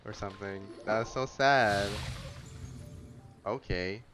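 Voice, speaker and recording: A game streamer with a low-pitched voice comments casually on a playthrough. The delivery is slow and offhand, with wry asides, over clearly audible music. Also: fairly bright tone.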